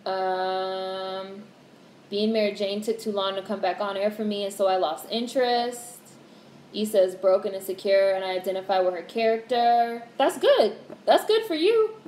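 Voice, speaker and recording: A young woman in her twenties hosting a casual livestream, talking in a monotone way, candid and swearing casually as she reads out comments. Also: mid-range voice, moderate pace. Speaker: livestream host. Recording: roomy echo with faint background hiss.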